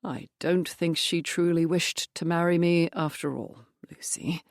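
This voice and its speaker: A woman's voice: fairly low pitch, fairly even intonation, a little slow, no echo, studio quality. A woman reading as a rueful middle-aged clergyman. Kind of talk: audiobook dialogue.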